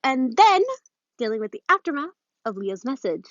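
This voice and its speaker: Woman as a chatty, higher-pitched teenage girl. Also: very animated, normal speed, no room sound. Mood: friendly concern. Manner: chatty, earnest. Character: friendly, tactful, concerned teenage girl. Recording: muffled phone recording.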